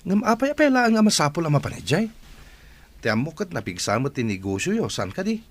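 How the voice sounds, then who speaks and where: A man's voice: on the high side, sing-song, brisk. A man playing a loyal, concerned family friend, friendly and reassuring, in a radio drama. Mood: surprised, questioning.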